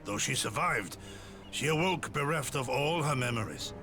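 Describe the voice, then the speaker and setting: A man playing an aged, solemn samurai in a video game, speaking in formal, old-fashioned phrases with a gravelly voice. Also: medium pitch, animated, normal speed, over music, lightly acted. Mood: grave, recounting. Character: aged male samurai, solemn, gruff, protective storyteller. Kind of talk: dramatic game cutscene acting, archaic diction.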